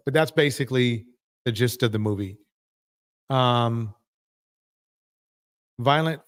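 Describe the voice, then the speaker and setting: A man hosting a live panel, moving the talk along and haltingly reading a film introduction. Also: mid-range voice, clear, resonant tone. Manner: halting, many fillers when reading. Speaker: host.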